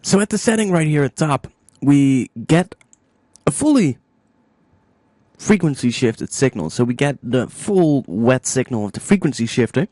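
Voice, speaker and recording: A man narrating a music software tutorial step by step, informally thinking aloud into a close microphone. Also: medium pitch, lively intonation, unhurried, no echo.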